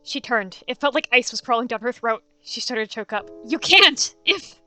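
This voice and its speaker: Woman narrating a dramatic story, bright highish voice, bit of music behind. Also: a little fast, clear, resonant tone.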